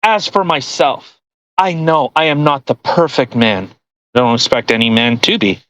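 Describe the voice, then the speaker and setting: A man's voice: medium pitch, expressive, moderate pace, a bit bright, no room sound. A man co-hosting a podcast, doing a mocking dramatic reading with sarcastic, profane heckling and banter. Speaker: podcast co-host.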